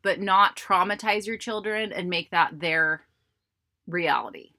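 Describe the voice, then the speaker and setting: A woman in her thirties hosting a casual family podcast, chatting and teasing in an animated way. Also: lowish voice, measured pace. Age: 30s. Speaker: podcast host.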